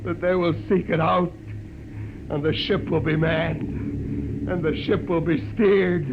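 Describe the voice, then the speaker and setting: A man's voice: on the high side, steady tone, takes it slowly. A man in a 1950s radio drama playing a defiant, impassioned idealist who pleads and preaches like an orator. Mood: exalted, impassioned. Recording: muffled, noisy 1950 radio recording.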